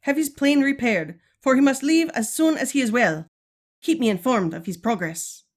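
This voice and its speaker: A woman's voice: high voice, lively intonation, moderate pace. A woman playing a regal, stern but caring Amazon queen and mother in a 1940s-style radio serial, formal and commanding. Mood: commanding, measured.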